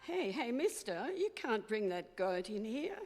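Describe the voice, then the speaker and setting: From across a reverberant hall, a woman acts out a no-nonsense, grumbling lunch counter worker. The delivery is animated and fairly high, in vintage radio play fashion. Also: measured pace, faint music behind. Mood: incredulous, gruff. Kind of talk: old-time radio play acting. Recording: echoey hall, far off.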